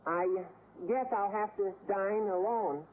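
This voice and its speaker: An actor playing an eager, cheeky high school boy with a squeaky adolescent voice and florid manner in an old-time radio comedy. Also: slow. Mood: eager, scheming. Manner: florid, over-earnest. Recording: scratchy, tinny old radio recording.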